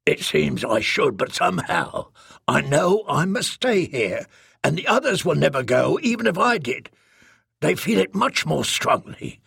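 A man voicing a meek, puzzled alien female in an audiobook, speaking in a halting, solemn way. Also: on the high side, very animated, creaky, a bit bright, husky, dry recording, studio quality, heavily acted. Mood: confused, insistent. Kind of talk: audiobook character dialogue.

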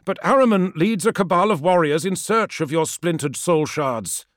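A loyal warrior son played by a male narrator, sorrowful and stubbornly honest. He projects urgently yet respectfully, his voice lifted and highly animated, in a fantasy audiobook. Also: moderate pace, no room sound, studio quality. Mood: pleading. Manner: urgent, earnest, deferential, projecting over a storm.

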